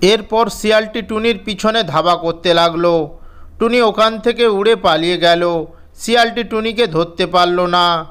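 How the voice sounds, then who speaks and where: A woman storyteller reading scripted narration for a children's animated fairy tale. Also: on the low side, a little slow, clean tone.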